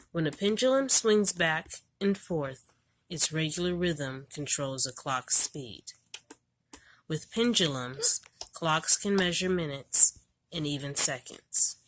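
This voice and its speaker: Low voiced woman reading a kids learning book out loud, deliberate storytime phrasing. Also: noisy background. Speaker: homeschool parent reading a book aloud. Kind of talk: read-aloud narration of a children's educational book.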